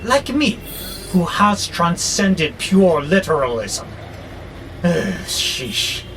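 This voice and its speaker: High, swooping, irritable rebel robot played by a man. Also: very slow, heavily acted. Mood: exasperated. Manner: exasperated, sardonic, theatrical grumbling. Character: irritable, rebellious male freight robot plotting revolution. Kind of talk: audio drama acting. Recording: clear steady background noise, vehicle-like hum.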